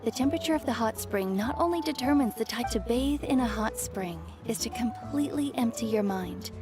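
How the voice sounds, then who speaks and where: Actress as caring, strict auntie, animated voice. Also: female voice, a little high, brisk, music in the background, close to an everyday voice. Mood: lecturing. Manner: instructive, gently bossy. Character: caring, strict auntie who dotes and scolds. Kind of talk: anime game voice acting.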